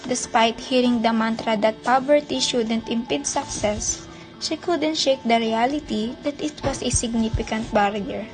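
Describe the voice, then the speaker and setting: High-voiced Filipino woman narrating radio drama. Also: normal speed, over music.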